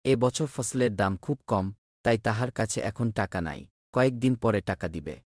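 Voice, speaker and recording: A man's voice: fairly low pitch, normal speed, a little mellow, no echo, studio quality, lightly acted. A man playing a humble, troubled poor village father in a cartoon, meek and apologetic.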